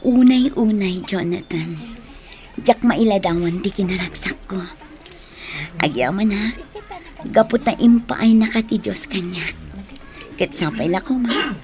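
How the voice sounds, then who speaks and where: A woman's voice: medium pitch, a little slow, long pauses, natural voice. A woman with an Ilocano accent playing a grateful, tender, forgiving bride in a radio drama. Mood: tender, happy. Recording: radio drama with loud music bed.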